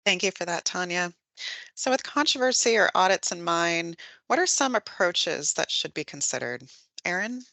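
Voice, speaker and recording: Bright-voiced woman hosting, dry sound. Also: on the low side, animated, moderate pace, no room sound. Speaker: host. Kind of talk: podcast moderation.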